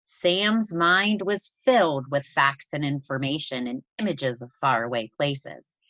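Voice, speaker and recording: A woman reading a children's story aloud in an expressive storytelling style, with deliberate, emphatic phrasing. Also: sits low, no echo. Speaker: presenter reading a children's picture book aloud.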